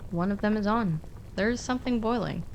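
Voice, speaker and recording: A woman's voice: medium pitch, brisk, lo-fi sound, a little hiss or hum. A woman co-narrating a fiction podcast, telling a scripted story in short, descriptive, conversational phrases.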